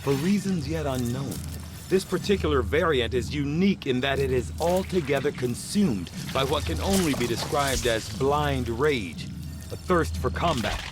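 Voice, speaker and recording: An actor playing a learned, precise chief scientist in a video game, speaking in formal, measured phrases. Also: male voice, mid-range voice, expressive, music in the background, natural voice. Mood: clinical, intrigued. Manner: formal, erudite, measured wording. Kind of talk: scripted video game cutscene acting.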